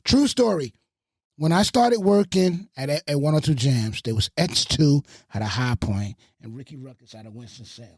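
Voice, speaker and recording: A man from the Bronx and Fayetteville, a radio host and producer, telling animated stories on a podcast and swearing freely. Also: a little high, normal speed, dry recording, studio quality. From Bronx, New York and Fayetteville, North Carolina. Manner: animated storyteller with a lot of swearing. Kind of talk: casual podcast storytelling.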